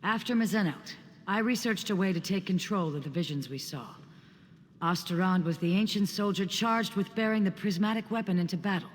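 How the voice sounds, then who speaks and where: An actor playing an earnest, courteous scholarly adventurer in a fantasy video game, speaking in measured, formal phrases. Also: male voice, high voice, long reverb tail. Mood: solemn. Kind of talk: video game character dialogue.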